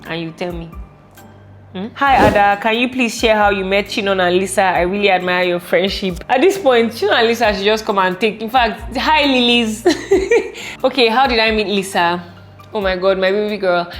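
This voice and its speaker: A woman in her late twenties with a Nigerian accent, chatting casually and playfully as a vlogger answering viewer questions, animated and exclamatory. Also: mid-range voice, moderate pace, faint music behind. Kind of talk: casual Q&A vlog chat.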